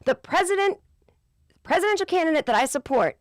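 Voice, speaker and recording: A woman's voice: lively intonation, a little fast, dry recording, clean studio sound. Exasperated woman host ranting, profane. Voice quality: low voice. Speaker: podcast host. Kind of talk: casual comedic monologue.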